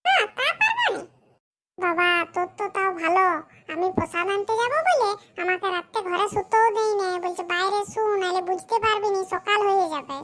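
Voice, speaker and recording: Cartoon village boy, extremely high squeaky voice, sharp tone, brash, mischievous, rural West Bengal accent. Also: animated, brisk. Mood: bantering. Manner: brash, grumbling colloquial banter. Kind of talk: comedy cartoon dialogue.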